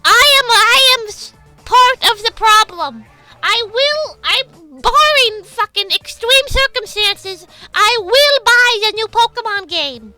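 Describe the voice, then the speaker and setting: A woman livestreamer with a high-pitched, gravelly voice, chatting casually and bluntly with viewers, excitable and sweary as she rambles. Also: slow, music in the background. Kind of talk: casual stream chat, reading out and answering viewer comments.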